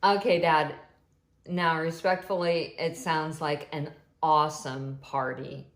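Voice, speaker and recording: A woman voicing a resentful older brother who complains in a low, grumbling voice. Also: steady tone, slow pace, reverberant. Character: sulky resentful older brother, young man.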